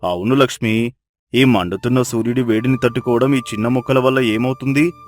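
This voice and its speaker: A man's voice: mid-range voice, fairly even intonation, fairly quick, soft background music, lightly acted. A man voicing a hardworking, devoted village husband, tender and admiring, in a moral story. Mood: caring.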